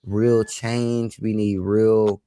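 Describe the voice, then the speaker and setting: Earnest, halting low-voiced man. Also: little pitch movement, mellow, no room sound. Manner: earnest, halting, repeats himself. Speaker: podcast co-host. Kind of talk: live podcast debate.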